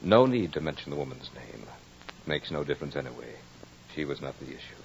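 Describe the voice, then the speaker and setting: An actor playing a brooding aristocratic courtier in an old radio drama, telling his tale in a measured, florid, dramatic way. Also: rumbling low, animated, vocal fry, full character voice. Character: brooding aristocratic French courtier recounting a fatal duel. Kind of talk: 1940s radio drama monologue. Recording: muffled old radio recording.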